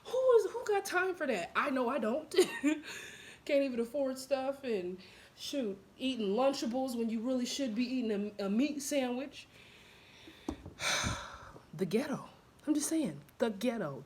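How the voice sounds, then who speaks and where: A woman's voice: high-pitched, slight background noise. A young woman speaking casually to camera in a blunt, chatty vlog. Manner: blunt, animated, chatty with laughs and asides. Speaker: vlogger.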